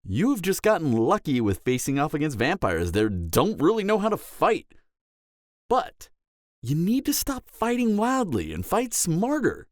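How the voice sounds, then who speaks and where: Cocky flirty man playing a vampire mentor, animated delivery. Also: fairly high pitch, a little slow, dry recording, studio quality. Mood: stern, instructive. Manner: teasing, lecturing, sardonic. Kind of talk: dramatic audio roleplay acting.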